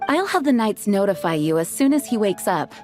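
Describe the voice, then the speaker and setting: A woman playing a poised, dutiful knight commander in an animated video game, composed and authoritative. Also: mid-range voice, brisk, faint music behind, natural voice. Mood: resolute.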